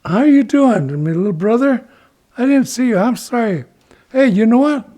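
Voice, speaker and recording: A man's voice: very high voice, lively intonation, normal speed, warm tone, pitched above the performer's own voice. A man playing an eager, boastful would-be warrior who exclaims and coaxes as he talks, in a told folk story. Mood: excited, coaxing. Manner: exclaiming, coaxing, bragging.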